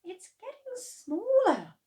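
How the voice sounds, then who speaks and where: A woman voicing an earnest, anxious young boy with a squeaky voice in a storybook reading. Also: wildly swooping pitch, slow, warm tone, far from the mic, heavily acted. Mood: worried.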